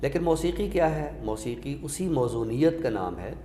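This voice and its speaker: A man with a Pakistani accent explaining literature in a scholarly, deliberate way, often by posing questions and giving examples. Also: medium pitch, lively intonation, dark tone. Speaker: literary expert on a talk show. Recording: echoey hall reverb with background noise.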